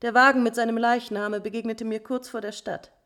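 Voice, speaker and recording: A woman's voice: a little high, flat delivery, brisk, fairly bright tone, close to an everyday voice. A woman playing a proud, scorned countess in 1950s German radio drama, bitterly mocking and agitated, moving between whispers and outcries. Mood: bitter pity. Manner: theatrical, swinging from whispers to outcries.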